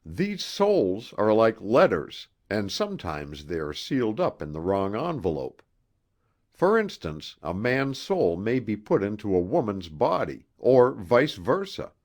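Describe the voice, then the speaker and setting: A dry, acrid professor from a gothic audiobook, acted out by a male narrator, pronounces his views with slow, sardonic care. The tone is a little strident, and the pitch swings widely through each sentence. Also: huge pitch swings, a little mellow, full character voice. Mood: musing, confidential. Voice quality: rather strident.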